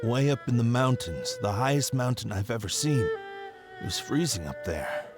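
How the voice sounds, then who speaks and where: A man's voice: medium pitch, big pitch swings, normal speed, over music, lightly acted. An actor playing a weary, bewildered ordinary man in a video game, puzzled and emphatic.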